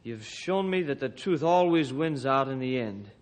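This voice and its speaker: A man's voice: medium pitch, expressive, normal speed, natural voice. An actor playing an earnest, polite teenage boy in an old-time radio drama, sincere and respectful. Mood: grateful, sincere.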